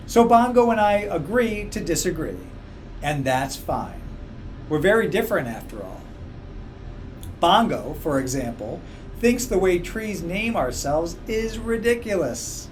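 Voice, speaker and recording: A man reading a children's book aloud in a measured, expressive way. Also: on the high side, a little hiss or hum, rough recording. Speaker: story reader. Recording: music playing behind. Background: background music.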